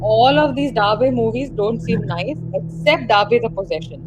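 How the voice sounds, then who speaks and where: A woman's voice: fairly high pitch, unhurried, background noise. A woman co-hosting a casual livestream film chat in a direct, opinionated way. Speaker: co-host of a horror discussion livestream. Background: music bed under the voice.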